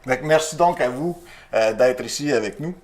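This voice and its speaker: A man's voice: mid-range voice, moderate pace, a little mellow. A middle-aged man with a Québécois French accent, hosting a TV quiz show in a chatty, jokey, self-deprecating way. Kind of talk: casual game show hosting.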